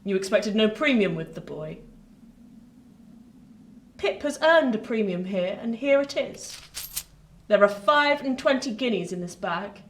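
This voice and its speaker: A woman's voice: mid-range voice, lively intonation, a little slow, long pauses, lightly acted. A woman playing an imperious, morbid old jilted lady in a Victorian radio play, haughty and theatrical. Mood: cold, commanding. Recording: roomy, distant, noisy home recording.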